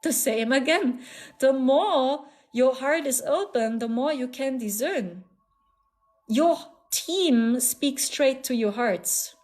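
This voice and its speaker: German-accented woman with a higher voice. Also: expressive, takes it slowly, a little mellow. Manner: earnest, repetitive, soothing, with frequent little laughs. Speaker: spiritual channeler hosting a live stream. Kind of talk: casual live-stream talk.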